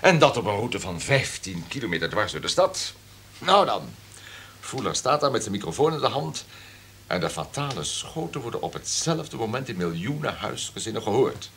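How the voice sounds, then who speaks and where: An actor playing a domineering, calculating radio station boss in a Dutch radio drama, with a pompous, commanding manner. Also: male voice, lowish voice, big pitch swings, normal speed, fairly bright tone, slight background noise, heavily acted. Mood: forceful, calculating. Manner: pompous, commanding, throat-clearing.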